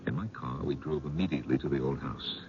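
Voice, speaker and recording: An actor playing a sober, inquiring gentleman who narrates a radio mystery in a measured, formal way. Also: rumbling low. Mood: sober, narrating. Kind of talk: 1970s radio drama narration and dialogue. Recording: dull, noisy old radio broadcast.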